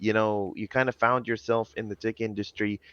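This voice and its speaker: A man's voice: fairly low pitch, little pitch movement, brisk, a bit bright. A man hosts a podcast from a home studio, talking animatedly and rambling, often repeating words. His headset sound is dry and a little dull, with no room echo. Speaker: podcast host. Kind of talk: casual interview chat.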